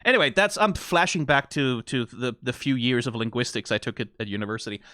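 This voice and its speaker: Chatty Danish man with bright voice. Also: medium pitch, a little fast, no echo, studio quality. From Denmark. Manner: chatty, tangent-prone, swears casually, frequent "like" and "right?". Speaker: reaction video host. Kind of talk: rambling casual chat.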